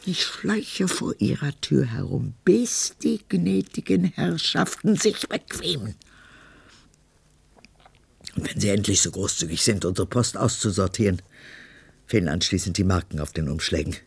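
Gravelly, irritable very old woman. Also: a bass voice, animated, unhurried, exaggerated voice. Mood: peeved, excited. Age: older. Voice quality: gravelly, husky. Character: very old woman, irritable, death-haunted, fussy. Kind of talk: literary radio drama monologue.

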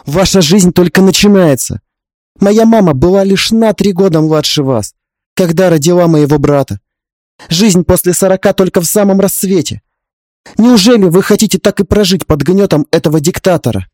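A man's voice: mid-range voice, expressive, moderate pace, darkish tone, dry recording, studio quality, natural voice. A man voicing a young wife in an audiobook drama, indignant and stubborn, pleading in an urgent, emphatic way. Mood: earnest, indignant.

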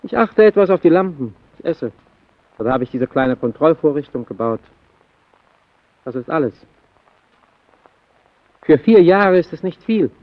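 Slow, brooding lighthouse keeper. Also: male voice, a little high, animated, phone-quality sound. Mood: brooding, resigned. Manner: slow, halting, intense, swelling and sinking to near murmur. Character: brooding solitary male lighthouse keeper, haunted by the sea. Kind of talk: German radio play acting.

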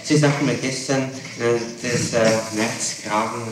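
A man's voice: medium pitch, fairly even intonation, unhurried. A man questioning someone formally in an interrogation, probing and persistent, with hesitant phrasing. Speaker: interrogator, state prosecutor. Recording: distant, very echoey, noisy archival tape.

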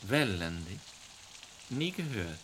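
An actor playing a chatty, helpful homeowner in a vintage radio crime play. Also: male voice, lowish voice, sing-song, slow pace, noisy background. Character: helpful homeowner who grew up locally. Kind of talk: 1950s-80s radio crime drama.

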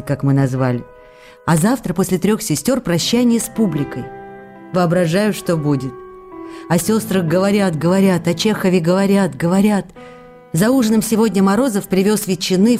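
A woman acts out a loving, emotional stage actress voicing her letters in a radio drama. Her voice sits a little low and is soft-toned and warm. She is intimate and expressive, over quiet music. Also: natural voice. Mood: tender, longing, then animated, proud. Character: affectionate, emotional young stage actress writing to her lover.

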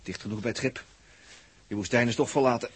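A man's voice: mid-range voice, quick pace, slightly rough, lightly acted. A man with a Netherlands Dutch accent acting a practical, easygoing, wry space crewman in a 1960s science-fiction radio drama, musing and conversational. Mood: drowsy, wry.

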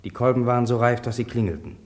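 A man's voice: medium pitch, fast talker, a little mellow, lightly acted. An actor playing a farmer's son who soberly recalls his lost home village, narrating a radio drama in the first person. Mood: reflective. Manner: sober, reflective recounting.

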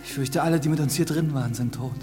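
A man's voice: a little high, fast talker, music in the background, natural voice. A man playing an earnest, intense young clergyman in a radio drama. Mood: grave, hushed.

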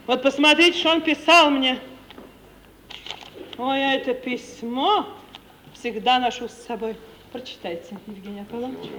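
A woman's voice: high-pitched, normal speed, long pauses, fairly bright tone. An actress playing a self-satisfied, sentimental older landowning lady in 1950s Russian radio theatre, gushing and repeating her words for emphasis. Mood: earnest, sentimental. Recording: old hiss, long hall reverb.

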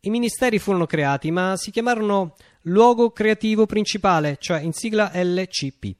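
A male actor playing a whimsical, self-important artist-leader in a theatrical radio monologue, declaimed with dramatic pauses. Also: high voice, no room sound, clean studio sound, close to an everyday voice. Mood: wry, storytelling.

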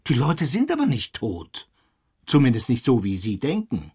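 A slightly husky male academic in a radio play. His pitch swings widely as he digresses like a professor, pedantic and animated. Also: normal speed, full character voice. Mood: gossipy, amused. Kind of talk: German radio drama, conversational storytelling.

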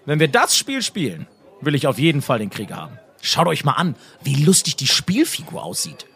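An actor playing a young gamer who becomes a dim but strong warrior in an amateur comedy audio drama. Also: male voice, fairly high pitch, wildly swooping pitch, fairly quick, vocal fry, fairly bright tone, slight background noise, heavily acted. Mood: eager.

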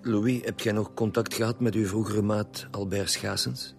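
An actor playing a gruff, stubborn veteran chief inspector with a Flemish accent in a radio drama, blunt and irritable. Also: male voice, lowish voice, fairly even intonation, moderate pace, faint music behind. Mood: confrontational.

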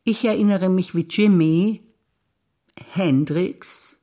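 An older German woman, a stage actor, reciting her personal memories calmly and wistfully in a theatre piece. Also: lowish voice, takes it slowly.

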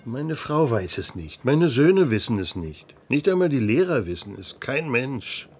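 A man's voice: mid-range voice, big pitch swings, a little slow, husky, heavily acted. An actor playing a frightened, cautious village headman in a radio play. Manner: anxious, cautious, hesitant.

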